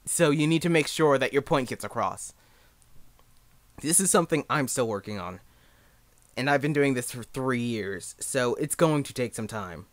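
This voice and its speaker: A young man giving a casual, unscripted tutorial in a rambling, halting, self-deprecating way. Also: medium pitch, lively intonation, dry recording. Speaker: amateur voice actor giving advice.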